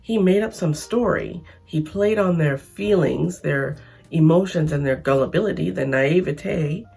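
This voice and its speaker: A Canadian woman talking through an opinion vlog in a chatty, emphatic way, hoarse from losing her voice. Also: deep voice, a little slow, darkish tone. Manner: chatty, emphatic, frequent restarts. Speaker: vlogger.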